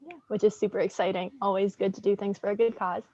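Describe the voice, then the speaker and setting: A woman's voice: medium pitch, fairly even intonation, fast, mellow, no room sound, dull audio. A woman co-hosting an online show, giving a casual welcome. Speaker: co-host, nonprofit director.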